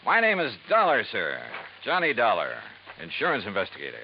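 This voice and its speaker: A man's voice: medium pitch, wildly swooping pitch, fairly quick, a little hiss or hum. An actor playing a hard-boiled male insurance investigator who narrates in an easygoing, wry first person, in 1950s radio drama acting. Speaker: narrator and lead. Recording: dull old radio recording with music.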